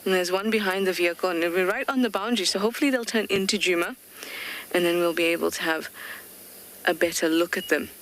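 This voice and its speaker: A woman's voice: fairly low pitch, quick pace, a little hiss or hum. A woman working as a safari guide, thinking aloud in chatty, curious live commentary from a vehicle in the bush. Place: game drive vehicle outdoors in the bush.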